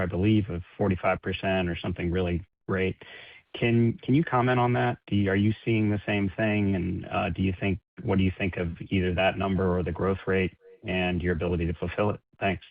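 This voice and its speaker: A man speaking as a financial analyst, asking questions casually and a little haltingly over a call. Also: fairly low pitch, no echo. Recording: muffled phone line.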